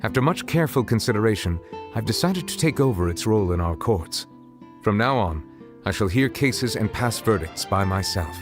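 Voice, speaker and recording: A man voicing a solemn, dignified dragon who serves as chief judge in a video game, speaking in a formal, measured, grave manner. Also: lowish voice, expressive, natural voice. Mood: resolute, formal. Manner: formal, measured, eloquent, reserved. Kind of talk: video game character dialogue. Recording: game dialogue over background music.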